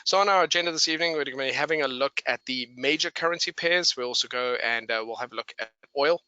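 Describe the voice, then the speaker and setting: Bright-voiced man, English-South African accent. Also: medium pitch, quick pace, smooth, no room sound, lo-fi sound. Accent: mixed English and South African. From England and South Africa. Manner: chatty, explanatory, addresses listeners directly. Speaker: webinar presenter and trader. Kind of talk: live instructional webinar, conversational.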